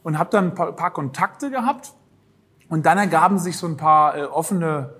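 Husky-voiced man chatting as a guest. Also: a little high, normal speed. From northern Germany. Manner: relaxed, rambling, many fillers. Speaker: podcast guest, founder. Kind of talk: conversational interview answers.

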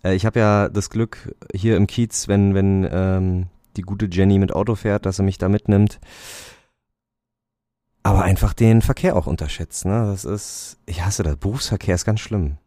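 Guy with a lowish voice chatting about football, lots of ähms, dry close mic. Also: fairly even intonation, normal speed, dark tone, dry recording. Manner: rambling, many fillers and restarts, laughs often. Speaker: podcast co-host. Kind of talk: casual chat about football.

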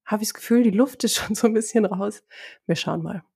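A woman co-hosts a relaxed podcast while she has a cold. Her voice sounds husky and congested. She talks in a friendly way and laughs lightly now and then. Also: medium pitch, fairly quick. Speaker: podcast co-host. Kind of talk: casual chat.

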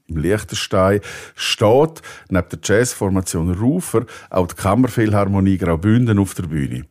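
A man reading scripted narration as a podcast presenter, in the style of a music review. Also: sits low.

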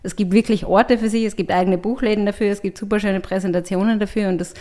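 A woman's voice: medium pitch, steady tone, fast talker, mellow. A woman with an Austrian accent talking casually as a writer and artist being interviewed on a podcast. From Europe.

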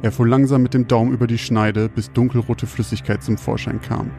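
A man with a slightly creaky voice, hosting and telling stories on a podcast. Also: mid-range voice, little pitch movement, brisk, mellow, faint music behind. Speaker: podcast host and storyteller. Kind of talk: casual banter and scripted horror storytelling.

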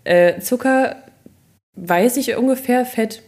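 A woman's voice: on the high side, slow pace, a little mellow. A woman co-hosting a podcast, asking curious questions in a chatty, self-deprecating way. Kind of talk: casual podcast chat.

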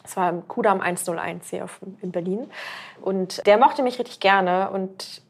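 A woman around thirty chats as a podcast guest. Her voice sits at an everyday middle pitch. She rambles through her story with fillers and restarts. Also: moderate pace, vocal fry, breathy edge. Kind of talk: casual conversational storytelling.

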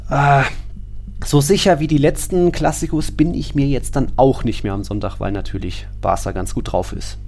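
A man's voice: medium pitch, moderate pace, creaky, darkish tone, faint music behind. A man co-hosting a podcast, chatting casually and in detail about football.

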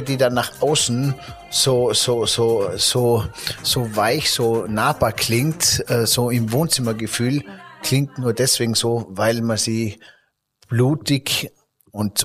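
Ein Mann mit österreichischem Akzent, der als Gastgeber im Gespräch lebhaft erzählt und oft nach Worten sucht. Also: male voice, medium pitch. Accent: Austrian German. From Austria. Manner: lively, searching for words with fillers and restarts. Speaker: podcast host. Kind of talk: casual interview talk.

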